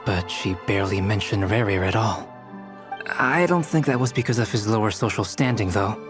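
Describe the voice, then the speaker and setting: A man playing a polite, scholarly researcher in a game dub, speaking in a measured, formal, analytical way. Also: fairly low pitch, music in the background, natural voice. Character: polite, scholarly young male researcher absorbed in theory. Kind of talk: English video game dub acting.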